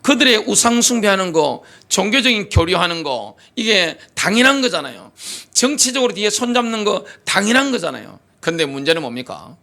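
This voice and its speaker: High, lively-voiced male preacher. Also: vocal fry. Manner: rhetorical questions, emphatic preaching. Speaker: pastor. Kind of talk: sermon. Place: church sanctuary.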